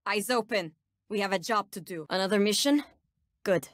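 An actress with a Turkish accent voicing a menacing, sardonic nightmare-wielding bounty hunter in a video game, speaking with cool, ominous deliberation. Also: female voice, mid-range voice, moderate pace, dry recording, studio quality, natural voice. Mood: cool menace. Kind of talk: video game character voice lines.

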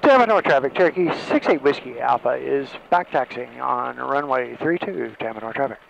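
A man gives clipped, formulaic traffic calls in a gravelly, fairly high voice. The sound is dull and lo-fi, like an aircraft radio, with clear engine noise underneath. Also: animated, a little fast. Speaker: pilot making radio calls.

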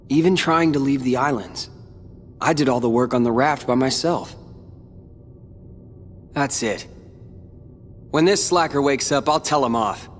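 A man's voice: mid-range voice, a little hiss or hum, natural voice. A man playing a brooding, proud teenage boy in an earnest, dramatic video game cutscene. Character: brooding, proud teenage boy hero and his tormented replica.

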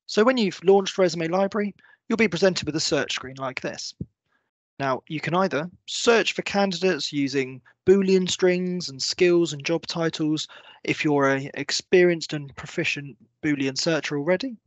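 A man in his thirties presenting a software walkthrough, explaining step by step in a semi-scripted tutorial style. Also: a little high, moderate pace, dry recording. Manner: clear, step-by-step explanatory delivery. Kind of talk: semi-scripted screen-share tutorial narration.

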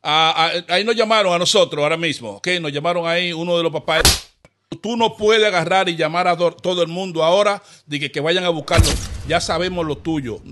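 A man's voice: a little high, brisk. A man making a prank phone call, posing as an official in an insistent, accusatory way and repeating himself. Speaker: prank caller, show host. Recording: noisy recorded phone call.

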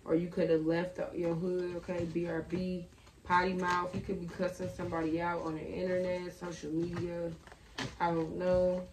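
A young woman with a slightly raspy, low voice chats through a tarot reading in a loose, slangy way. Her delivery stays fairly flat. The sound is roomy and picked up from a little way off. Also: normal speed, background noise. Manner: chatty, slangy, thinking aloud. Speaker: tarot reader. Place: bedroom at a table. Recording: roomy, a bit far from the mic.

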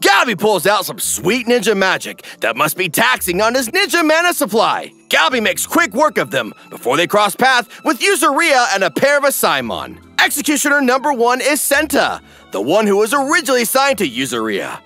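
A man's voice: high voice, wildly swooping pitch, fairly quick, sharp tone, faint music behind. A man giving wry, playful, exaggerated narration for a scripted comedy recap.

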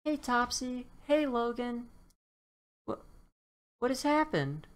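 A woman acts out a curious female dinosaur in a children's storybook. She is chatty and inquisitive, asking questions in a high, surprised voice. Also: sing-song, slow.

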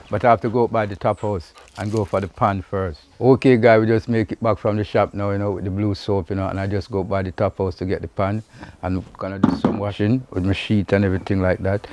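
A middle-aged Jamaican man speaks in a low, relaxed voice, walking viewers through a chore step by step. He talks outdoors by a river, picked up on a handheld camera with faint background noise. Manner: easygoing, repeats "you know" often. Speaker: vlogger. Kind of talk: casual how-to vlog.

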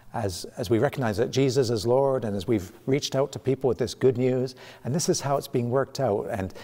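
A middle-aged man, preaching a sermon in a church sanctuary, animated and gesturing, often restarting his phrases. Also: mid-range voice. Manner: animated, gesturing with both hands, frequent restarts. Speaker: pastor. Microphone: camera at medium distance. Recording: long reverb of a church hall.

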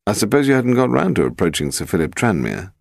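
A calm gentleman detective, played by a male narrator in an audiobook. He is wry and measured, asking questions in a low voice with a creaky edge. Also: dry recording, studio quality, exaggerated voice. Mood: weary probing.

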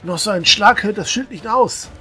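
Young male adventurer actor, raised pitch, rough-edged, daunted, fantasy radio play. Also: moderate pace, bright, forceful tone, soft background music, exaggerated voice. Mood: alarmed, daunted. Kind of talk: fantasy audio drama acting.

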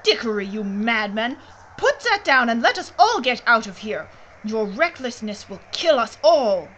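A woman's voice: a little high, sing-song, a little slow, a bit bright, a little hiss or hum. A woman playing a stern, alarmed businesswoman in an audio drama, dramatic and indignant. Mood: alarmed anger. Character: stern, alarmed foreign businesswoman pleading with madman.

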